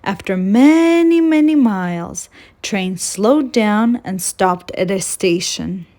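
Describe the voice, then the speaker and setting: A woman reading a storybook aloud for children with deliberate, dramatic phrasing. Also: medium pitch, lively intonation, mellow. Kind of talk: expressive storybook read-aloud.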